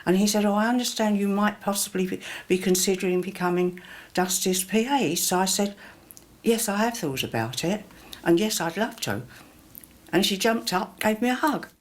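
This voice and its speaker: A woman's voice: mid-range voice, normal speed. A woman recalling her working past in an interview, voicing remembered dialogue as she goes. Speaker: former personal assistant. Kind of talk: anecdotal interview recollection.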